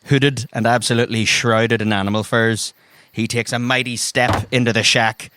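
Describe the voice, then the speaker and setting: A man's voice: medium pitch, steady tone, normal speed, bright, forceful tone. A man narrates a tabletop adventure dramatically in the second person as game master. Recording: music bed behind the voice. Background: background music.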